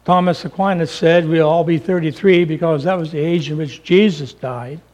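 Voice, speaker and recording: A man's voice: on the high side, moderate pace, a little mellow. A man in his seventies preaching a sermon in a folksy, humorous way, full of rhetorical questions. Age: 70s.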